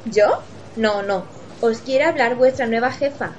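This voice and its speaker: A woman's voice: on the high side, measured pace, close to an everyday voice. A woman with an accent from Spain playing a bossy but reassuring senior school leader in an amateur radio play. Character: bossy, reassuring female former house head at school. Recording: dull, faintly noisy home recording.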